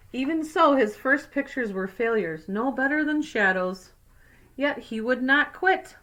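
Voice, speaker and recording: A woman's voice: mid-range voice, fairly bright tone. A woman teacher reading a picture book aloud to young children, adding simple explanatory asides. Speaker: second grade teacher.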